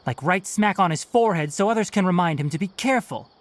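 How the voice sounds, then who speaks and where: An actor voicing a young male forest ranger chief in a video game, a dry, measured, gently scolding mentor. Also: medium pitch, lively intonation, natural voice. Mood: wry exasperation.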